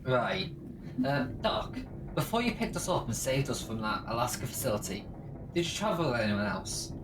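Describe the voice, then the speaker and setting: A woman's voice: very deep voice, sing-song, moderate pace. A woman playing a curious, teasing engineer companion in an audio drama, with a casual inquisitive manner, a little distant and noisy. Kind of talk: scripted audio drama acting.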